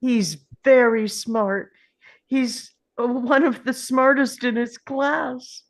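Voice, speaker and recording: Casting: gentle young mother read by a woman, highish voice, unhurried, candid, plainly delivered. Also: steady tone. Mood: tender, reassuring. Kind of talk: informal screenplay table read.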